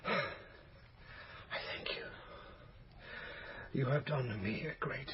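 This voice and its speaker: An actor playing a dying gentleman father in a period radio drama, proud and emotional, with a halting delivery. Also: medium pitch. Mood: solemn, grateful. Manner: slow, halting, weighty delivery. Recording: dull, noisy radio drama recording.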